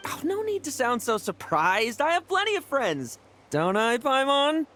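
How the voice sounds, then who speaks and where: A man's voice: extremely high pitch, sing-song, unhurried, sharp tone, a little hiss or hum, exaggerated voice. An actor playing a cocky, taunting young male knight in an anime-style game. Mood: teasing. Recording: music behind the voice.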